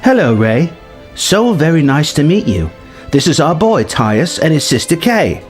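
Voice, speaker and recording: A man's voice: on the high side, very animated, measured pace, soft background music, voice pushed up. A man voicing a gracious, welcoming middle-aged wife and mother in a dramatized story reading. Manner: polite, welcoming.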